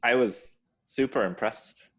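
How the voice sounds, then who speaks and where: A man's voice: medium pitch, slow. A man who acts on stage, talking casually as part of a group discussion. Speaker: stage actor, panel participant. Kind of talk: casual chat.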